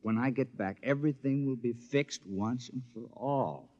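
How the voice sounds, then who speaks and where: An actor playing a scheming male robot double of a husband in a 1950s radio play, smooth and falsely reassuring, in a dull old recording. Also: medium pitch, moderate pace, a little mellow, close to an everyday voice. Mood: scheming calm. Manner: smooth, falsely reassuring, then coldly threatening.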